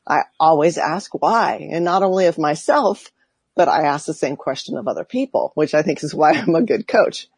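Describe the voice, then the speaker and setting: Woman life coach, husky voice, dry close sound, reflective and laughing. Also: low-pitched, expressive, normal speed, a little mellow, no echo. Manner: reflective, laughing, thinks aloud with fillers. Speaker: podcast guest, life coach. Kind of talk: casual interview chat.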